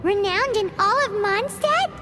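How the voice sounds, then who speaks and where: A woman voicing a tiny, excitable, cheeky female sprite in a video game, squeaky and childlike, bluntly exclaiming. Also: measured pace. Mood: astonished. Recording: loud music under the voice.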